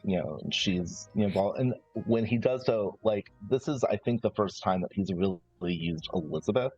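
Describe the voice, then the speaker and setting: A man chatting casually and haltingly out of character as a player in a tabletop roleplaying game. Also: fairly low pitch, a little mellow, husky. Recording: dull lo-fi sound, background music.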